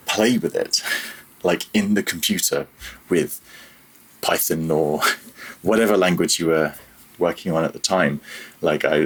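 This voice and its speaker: Podcast guest, male, deep and slightly rough voice, informal, meandering delivery. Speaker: podcast guest, audio programmer. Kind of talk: casual interview, telling own career story.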